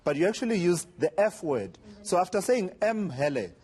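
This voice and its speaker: A man interviewing a guest on television, pressing his points in a measured way with frequent fillers. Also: sits high, very animated, a little mellow, slightly rough. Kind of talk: formal TV interview.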